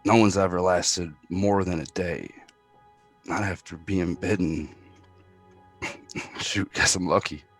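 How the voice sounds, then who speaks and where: Man acting bitten survivor, grief-stricken, rough husky low voice, drama table read, video call. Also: fairly even intonation, slow pace, long pauses, exaggerated voice. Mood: weary, resigned. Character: grieving bitten man, raging then wearily resigned. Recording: video call audio under music.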